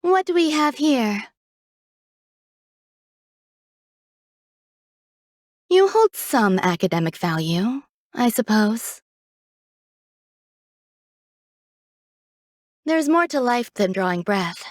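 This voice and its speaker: A woman voicing a refined, coolly detached genius scientist in a video game, speaking in a composed, measured, poetic way. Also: on the high side, big pitch swings, no echo, clean studio sound. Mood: cool, poised. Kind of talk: video game character voice lines.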